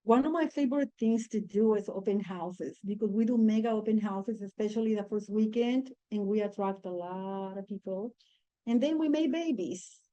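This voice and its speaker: A woman real estate agent on a panel, talking in a lively, animated way and laughing through her stories. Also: mid-range voice, moderate pace, muffled recording. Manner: lively and animated, laughs while telling anecdotes. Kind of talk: panel discussion.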